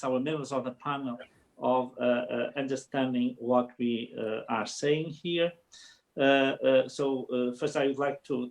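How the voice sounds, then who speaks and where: A man with a Portuguese accent talks over a video call, his voice gravelly with fry. He hesitates constantly, filling gaps with "eh" and restarting phrases, yet keeps his speech formal. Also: mid-range voice. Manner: hesitant, many fillers and restarts. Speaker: panel speaker, politician.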